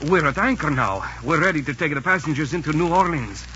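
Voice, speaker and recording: An actor playing a soft-hearted Italian pirate crewman in a 1940s radio drama. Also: on the high side, lively intonation, quick pace. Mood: gentle, coaxing. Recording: muffled, hissy 1940s radio recording.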